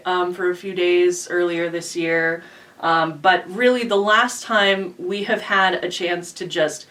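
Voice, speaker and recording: A woman with a fairly low voice, sitting a bit far from the microphone, co-hosts a channel update. She chats casually and informally to the viewers. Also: a bit bright. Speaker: co-host and voice actress.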